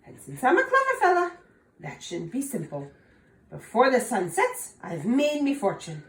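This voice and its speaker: A woman voicing a clever young farm lad who talks in a squeaky, excited, boastful way, in an echoey room. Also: normal speed. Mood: gleeful.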